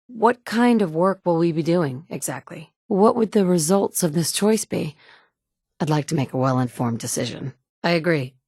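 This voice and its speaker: A tough, level-headed survivor who gives it to people straight, with no fuss and a dry edge of humor. Her voice sits a little low for a woman, close-miked and completely dry. It is game dialogue delivered plainly and with confidence. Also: a little mellow, no echo. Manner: direct, matter-of-fact. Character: capable, dry-witted woman survivor and leader. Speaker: player character. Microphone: close studio mic.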